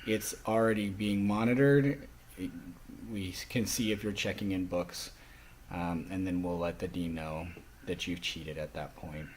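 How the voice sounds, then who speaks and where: A man speaking as a college instructor, explaining course rules to a class in an instructional way with frequent short pauses. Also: low voice, fairly even intonation, a little hiss or hum, low-quality recording. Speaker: college sign language instructor.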